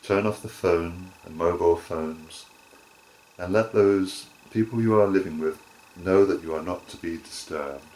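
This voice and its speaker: A man teaching guided relaxation in measured, deliberate phrases with short pauses. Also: deep voice, a little mellow, poor audio. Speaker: yoga nidra teacher.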